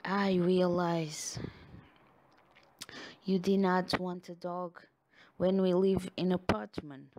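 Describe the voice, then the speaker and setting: A woman's voice: on the low side, fairly even intonation, darkish tone. A woman who is not a native English speaker, doing an affectionate ASMR girlfriend roleplay addressed straight to the listener. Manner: slow, affectionate, with frequent sighs and giggles.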